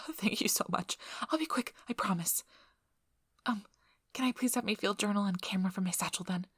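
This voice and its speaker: A woman playing a nervous, apologetic, injured young field researcher in an ASMR audio roleplay, breathy and hesitant, stammering as she goes. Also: mid-range voice, moderate pace, fairly bright tone, no echo, clean studio sound. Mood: apologetic, eager.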